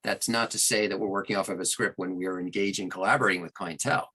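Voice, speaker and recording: A man with a fairly low voice and a very bright, projected edge talks as a guest in an interview. He explains his creative work expansively, leaning on key words with clear enthusiasm. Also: fairly even intonation, fast. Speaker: interview guest, audiobook narrator and producer. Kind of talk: conversational explanation of craft.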